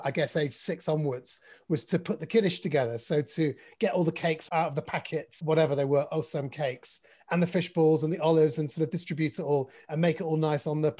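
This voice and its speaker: A man's voice: fairly high pitch, darkish tone. A man from Manchester with a British accent, speaking as a guest in a casual, reflective way, hesitantly circling back over his words. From Manchester, England. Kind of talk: casual, reflective interview talk.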